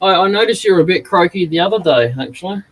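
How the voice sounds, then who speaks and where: An Australian man hosts a casual livestream in a fairly high voice. His talk is informal and conversational. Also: animated, fast talker, rough recording. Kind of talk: casual chat.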